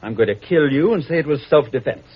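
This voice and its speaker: An actor playing a cold, calculating villain in a vintage radio melodrama, smug and menacing in his deliberate delivery, with a husky voice. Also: a little high, lively intonation. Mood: smug menace. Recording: muffled vintage radio recording.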